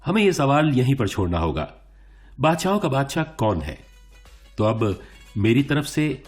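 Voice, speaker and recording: Over a clear music bed comes a suave male game show host. His pitch swings widely as he announces with theatrical suspense, encouraging the contestant in radio play style. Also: a little mellow. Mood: festive. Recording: music bed under the speech.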